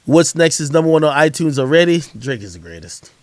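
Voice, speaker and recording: A man's voice: fairly high pitch, big pitch swings, a little fast, no echo. A man streaming hip-hop commentary, talking in loose, profane slang and often repeating phrases as he answers viewers. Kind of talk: casual livestream chat answering viewer questions.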